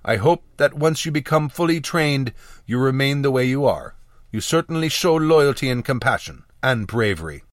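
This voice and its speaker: A man's voice: medium pitch, lively intonation, normal speed, dry recording, clean studio sound, voice pushed up, natural voice. A man voicing a scary, suspicious male pirate in a fantasy audiobook, growling yet formally courteous. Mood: gruff, respectful.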